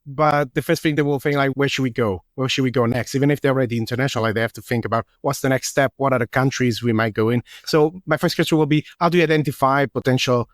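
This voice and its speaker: A male podcast host fires off his questions quickly and casually, leaning on filler words as he goes. The sound is dry and close with no room echo. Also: medium pitch. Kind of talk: interview questions.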